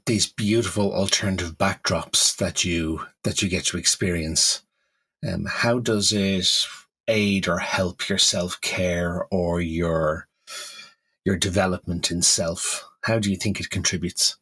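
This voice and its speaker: A man who works as a therapist, speaking in a calm, measured way and asking reflective questions as a podcast co-host. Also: low voice, husky.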